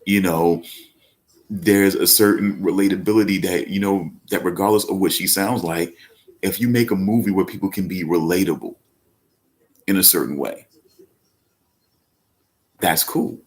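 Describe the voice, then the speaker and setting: Male podcast host, very low voice, loose rambling delivery, casual live-show chat. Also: moderate pace, pauses often. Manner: rambling, with many fillers and restarts; speaks up when emphatic. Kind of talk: casual live-stream talk.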